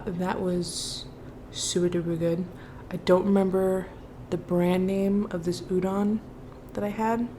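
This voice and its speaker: A woman's voice: on the low side, dark tone, a little hiss or hum. A woman in her early thirties chatting casually and expressively as a mukbang vlogger, with informal asides. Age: early 30s. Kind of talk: casual chatty storytime while eating.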